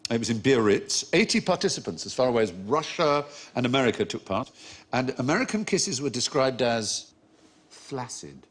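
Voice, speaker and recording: A man's voice: mid-range voice, sing-song, normal speed, a little hiss or hum. A man hosting a comedy panel show. He tells anecdotes in an amused, theatrical way. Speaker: quiz show host. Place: TV studio with audience.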